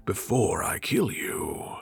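Man voicing a huge, gravelly, haughty storybook djinn. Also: low voice, very animated, fairly bright tone, faint music behind, full character voice. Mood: haughty, menacing. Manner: slow, menacing, grandly dramatic delivery. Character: huge haughty wrathful male djinn, long imprisoned. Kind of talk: storybook villain.

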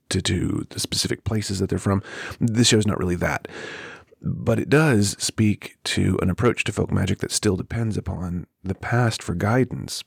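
A man hosting a podcast and talking casually while hoarse from a cold, hurrying and filling pauses with ums and uhs. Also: low voice, dry recording, studio quality. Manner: hurried, with many ums and uhs. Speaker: podcast host. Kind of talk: casual spoken intro. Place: home.

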